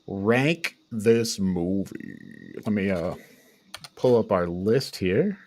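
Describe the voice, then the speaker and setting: A man's voice: on the low side, very animated, drawn-out words, creaky, darkish tone, a little hiss or hum. A man hosting a podcast, chatting casually as part of a panel reviewing movies. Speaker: podcast host.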